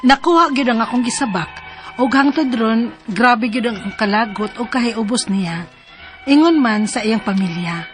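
A woman's voice: fairly high pitch, animated, normal speed, soft background music, lightly acted. A woman playing a wronged, long-suffering young mother in an emotional radio drama, with a Cebuano accent. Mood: aggrieved. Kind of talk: radio drama acting and first-person narration.

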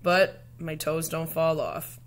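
A woman with a slightly low voice reads a children's picture book aloud. She phrases it deliberately and dramatically, as a teacher does for young listeners. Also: fairly even intonation.

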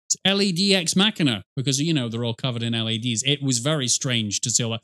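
A man's voice: mid-range voice, quick pace, bright, forceful tone. A middle-aged man giving casual, sarcastic, nitpicky reaction commentary with some swearing. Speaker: reaction streamer. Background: game and cutscene audio with music.

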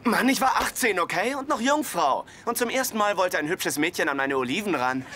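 A man's voice: high-pitched, animated, a little fast, very noisy. An actor dubbing an earnest, sheepish young man about to marry in a sitcom dub.

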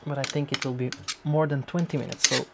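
A man's voice: medium pitch, flat delivery, gravelly. Flemish guy talking over shredder clatter. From Belgium. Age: 30s. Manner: halting, restarts phrases, searches for English words. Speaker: channel host. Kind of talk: unscripted workshop talk while working. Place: workshop by a running industrial shredder. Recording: machine noise and clatter behind.

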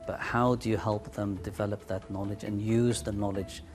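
A man with a fairly low voice gives measured film interview answers. Music runs clearly underneath. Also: level tone.

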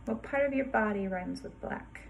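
A woman kindergarten teacher reading a rhyming picture book aloud to young children in a sing-song way, pausing dramatically before rhymes and asking questions. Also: medium pitch, vocal fry, slight background noise, low-quality recording.

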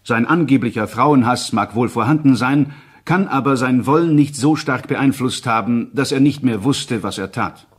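An actor playing a formal psychiatric expert reading a report to a court, clinical and detached. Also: male voice, mid-range voice, moderate pace, breathy edge, close to an everyday voice. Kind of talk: scripted formal reading in a crime drama.

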